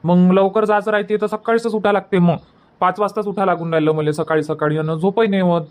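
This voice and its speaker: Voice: mid-range voice, steady tone, fairly quick, lightly acted. An actor voicing a put-upon young village son in a cartoon comedy, with a Varhadi Marathi accent and a whining, self-justifying manner. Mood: defensive. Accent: Varhadi Marathi from Vidarbha.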